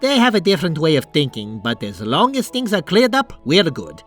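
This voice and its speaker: A man's voice: fairly high pitch, wildly swooping pitch, brisk, a bit bright, faint music behind, heavily acted. A man playing an upbeat, peacemaking young adult son in a cartoon comic dub, chipper, casual and reassuring.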